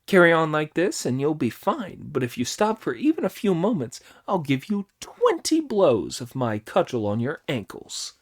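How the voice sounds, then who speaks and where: A man's voice: a little high, moderate pace, hardly any pauses, voice pushed up, exaggerated voice. A man reading an audiobook, voicing a cheeky, boastful male monkey king who bosses the others around. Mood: gleeful. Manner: boastful, commanding, excitable.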